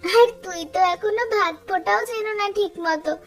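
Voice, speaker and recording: Very high-pitched young cartoon girl voiced by an actor. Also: normal speed, fairly bright tone, soft background music. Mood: teasing, bossy. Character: young village girl, chatty, teasing her older brother. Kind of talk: Bengali cartoon story dialogue.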